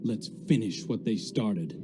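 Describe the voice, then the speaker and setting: An actor playing a steady, resolute military commander in a video game, giving a grave, measured, encouraging briefing. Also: male voice, on the low side, over music.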